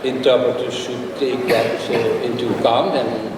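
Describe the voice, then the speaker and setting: An older man delivers a formal address in a large conference hall. He speaks in a measured, slightly halting way, with learned asides. The sound is far from the mic and washed in long reverb, with steady noise behind it. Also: mid-range voice. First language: Dutch. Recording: echoey hall, picked up from afar, with noise.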